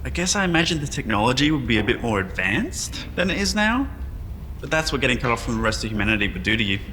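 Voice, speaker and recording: A man's voice: mid-range voice, sing-song, fast talker, pushed and bright, slight background noise. An actor playing a wry, modest man revived from cryostasis, speaking in a reflective, conversational way in a sci-fi audio drama. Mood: amused, candid. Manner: self-deprecating, reflective, conversational. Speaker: interviewee at a press appearance. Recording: long hall reverb, music behind.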